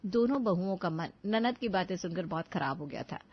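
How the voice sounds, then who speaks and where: A woman's voice: fairly low pitch, expressive, brisk, breathy edge, highs cut off. A woman reading scripted narration for a family story.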